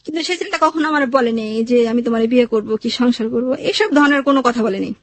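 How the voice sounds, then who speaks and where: A high-pitched woman with a Bangladeshi accent tells a personal story. Her speech is plain and colloquial, full of quoted exchanges, and the recording is close and dry. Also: fast, dry recording. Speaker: interview guest telling own life story.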